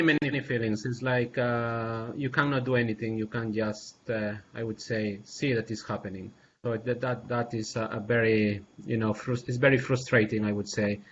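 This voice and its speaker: A man from Peru speaks on a video call panel, earnest and halting, pausing often and restarting his sentences. His voice sits in a middle range. The audio is dull and lo-fi, with a faint noise underneath. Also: mid-range voice, a bit bright. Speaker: assistant professor panelist.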